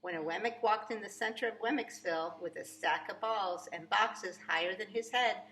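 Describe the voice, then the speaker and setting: Female storybook reader for young children. Bright, crisp-edged delivery, emphatic and expressive. Roomy sound with faint noise. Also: medium pitch, moderate pace. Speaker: preschool teacher reading aloud. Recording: echoey room, faint background noise.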